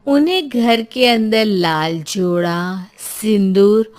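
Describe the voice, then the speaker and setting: A woman storyteller reading scripted narration for an animated tale. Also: mid-range voice, takes it slowly.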